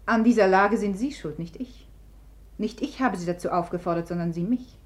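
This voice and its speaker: An actress playing Nastasya, a proud woman, speaking in a cool, quiet, disdainful way in a 1950s radio play. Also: medium pitch, moderate pace, natural voice. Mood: cool scornful.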